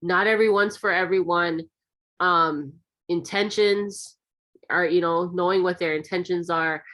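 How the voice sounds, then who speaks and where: A woman hosting an interview, chatty and encouraging, talking in long, quick runs. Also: lowish voice, steady tone, a bit bright. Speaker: interview host. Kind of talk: casual interview conversation.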